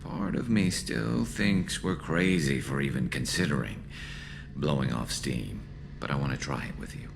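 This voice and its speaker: A man voicing an awkward, earnest alien soldier in a video game, gravelly, hesitant and dryly wry. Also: rumbling low, unhurried, noisy background, full character voice. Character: awkward, earnest male alien soldier, battle-scarred and loyal.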